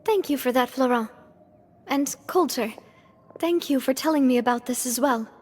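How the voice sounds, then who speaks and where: A woman playing a high-voiced young guild boss. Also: moderate pace, a little mellow, a little hiss or hum, natural voice. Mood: friendly. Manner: thoughtful, earnest, firm.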